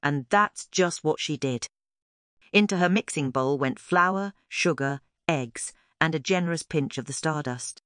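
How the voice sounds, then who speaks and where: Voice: medium pitch, measured pace, a bit bright, clean tone, dry recording, clean studio sound. A narrator reading a scripted children's story aloud as a storyteller.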